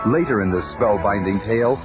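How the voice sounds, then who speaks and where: Seventies radio announcer guy, big theatrical hype delivery, music clearly behind him. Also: fairly low pitch, brisk, dull audio. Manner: grandiose, theatrical. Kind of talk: dramatic 1970s radio show announcing.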